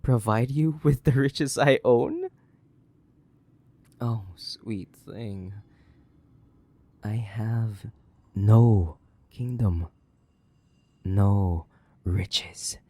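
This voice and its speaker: A man playing a centuries-old, sadistic vampire in a theatrical horror audio roleplay, condescending and drawling, with a gravelly, breathy voice that slips into whispers. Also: lowish voice, very slow, long pauses, warm tone, no room sound, heavily acted. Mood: mocking, menacing. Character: sadistic, centuries-old imprisoned male vampire, mocking and bored. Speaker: voice actor.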